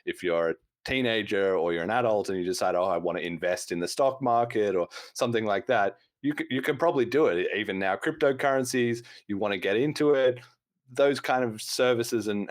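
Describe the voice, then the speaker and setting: A man's voice: fairly low pitch, dry recording. A man hosting a podcast, talking at length in a casual, explanatory way. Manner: talkative, explains at length, laughs now and then. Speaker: podcast host.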